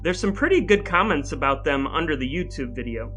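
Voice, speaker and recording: Higher-voiced American man, wry podcast host. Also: animated, fairly quick, a bit bright, faint music behind. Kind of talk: scripted dramatic reading and podcast chat.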